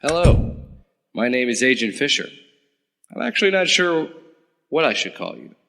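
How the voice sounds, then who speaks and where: An actor playing a sarcastic, flustered young government agent in an audio drama. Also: male voice, medium pitch, big pitch swings, normal speed, creaky, pushed and bright, in a large hall, a little hiss or hum.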